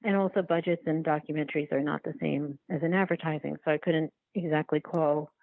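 Low voiced woman getting interviewed, halting and thoughtful, sounds like a thin phone call. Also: fairly even intonation. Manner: halting, searching for words, repeats herself. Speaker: documentary filmmaker, interview guest. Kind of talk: reflective interview conversation. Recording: roomy, band-limited remote-call sound.

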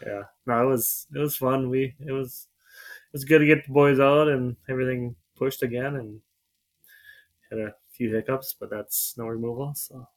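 An easygoing male podcast co-host who rambles through his week in a mid-pitched voice. He often trails off his sentences with a loose "so.". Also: steady tone, normal speed. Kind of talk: casual chat.

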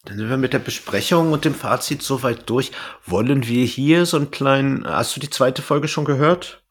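A man's voice: medium pitch, moderate pace, breathy edge. A man, co-hosting a podcast and talking casually in a reviewer's conversational style. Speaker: podcast co-host. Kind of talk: casual chat reviewing an audio drama.

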